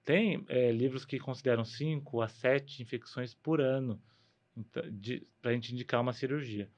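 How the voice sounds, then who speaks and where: A man's voice: medium pitch, moderate pace, darkish tone. A man with a Brazilian Portuguese accent, a guest doctor explaining medical matters conversationally, often posing a question and answering it himself.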